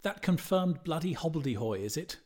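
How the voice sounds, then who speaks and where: A caustic middle-aged Irishman from an audiobook novel, acted out by a male narrator. He is explosive and mordant, pitched above the reader's usual voice and delivered with force. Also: expressive, brisk, a little mellow. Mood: scornful. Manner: exclamatory, mordant.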